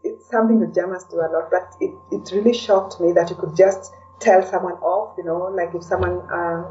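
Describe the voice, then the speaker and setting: A woman's voice: fairly low pitch, steady tone, a little fast, mellow, slight background noise. A woman speaking as an interview guest in a chatty, conversational way, often adding "you know". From Kenya. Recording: dull, distant lo-fi microphone.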